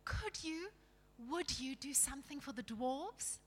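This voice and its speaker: A woman's voice: high-pitched, big pitch swings, a little slow, pushed and bright, in a large hall, voice pushed up, exaggerated voice. A woman voicing a kind young girl queen in a storybook reading, earnest, compassionate and pleading. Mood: distressed.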